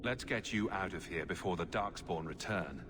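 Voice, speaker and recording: An actor playing a wry, self-assured adventurer hero in a video game, speaking bluntly. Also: male voice, sits low, normal speed, natural voice. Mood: resolute. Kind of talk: video game cutscene acting. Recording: noisy ambience behind the voice.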